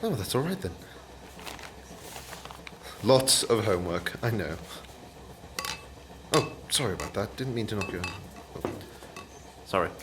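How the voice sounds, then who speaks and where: A man's voice: lowish voice, animated, a little slow, long pauses, noisy background. An actor playing a courteous, slightly awkward and kindly man on a train in a radio play, tentative and reassuring. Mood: awkward, apologetic. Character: polite, awkward, kindly man, fellow train passenger and writer.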